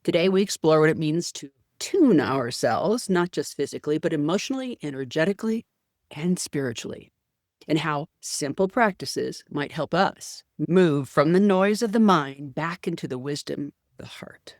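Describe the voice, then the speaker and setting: A woman hosting a podcast, reading a scripted introduction and then interviewing her guest with a soft, deliberate delivery. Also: sits low, lively intonation, no room sound, studio quality. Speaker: podcast host.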